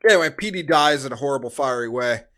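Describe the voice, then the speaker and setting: A man's voice: medium pitch, animated, fast talker, pushed and bright. A man hosting a podcast, chatting casually as he reviews a movie. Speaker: podcast host.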